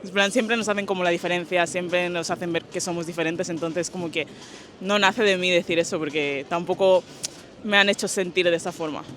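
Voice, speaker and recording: Bright-voiced young woman, thoughtful interviewee. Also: lowish voice, fairly even intonation, fairly quick, a little hiss or hum. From Spain. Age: young adult. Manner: thoughtful, casual slangy phrasing. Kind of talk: street interview answers.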